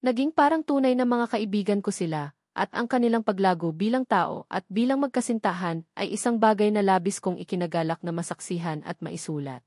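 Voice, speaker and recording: Female storyteller; gentle, formal, heartfelt; dry close read. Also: mid-range voice, a little fast, no echo. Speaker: narrator and author. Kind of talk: scripted story narration.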